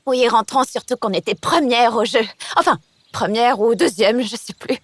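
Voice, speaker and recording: A woman voicing a lively, competitive young girl who takes charge in a children's cartoon, animated and exclamatory. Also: on the high side, moderate pace, no room sound, natural voice. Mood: chatty, lively.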